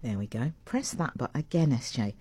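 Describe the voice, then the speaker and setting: A woman hosting a live morning radio show in a studio, chatty and rambling, her voice sitting lower than usual after a late night. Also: big pitch swings, brisk, over music. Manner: rambling, warm and self-mocking, with laughs. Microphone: large studio mic with a fluffy cover.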